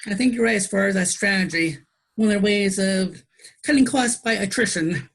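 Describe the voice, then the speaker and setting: Gravelly, husky-voiced woman. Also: medium pitch, normal speed. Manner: emphatic, pointed explaining with rising stresses. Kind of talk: conversational interview.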